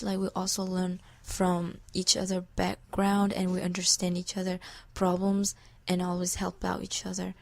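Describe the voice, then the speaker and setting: Creaky-voiced teenage girl from Thailand. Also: mid-range voice, a little mellow, dry recording. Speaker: student soccer player. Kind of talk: informal interview.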